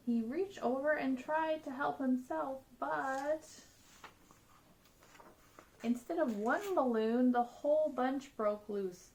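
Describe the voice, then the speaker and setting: Woman, fairly high voice, children's storyteller, expressive read-aloud, dramatic varied delivery. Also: gravelly, poor audio. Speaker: storyteller reading a picture book aloud.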